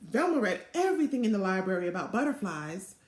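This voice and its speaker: A middle-aged woman reading a children's storybook aloud in an expressive, deliberate way. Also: medium pitch, a little hiss or hum. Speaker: literacy program co-chair reading aloud. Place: small indoor office or home room. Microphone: device mic at arm's length. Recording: echoey room sound.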